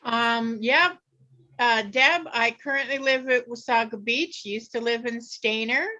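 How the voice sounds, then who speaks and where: A woman's voice: fairly high pitch, unhurried, pushed and bright, lo-fi sound. A shy woman committee member introducing herself briefly on a video call. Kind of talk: casual meeting chat.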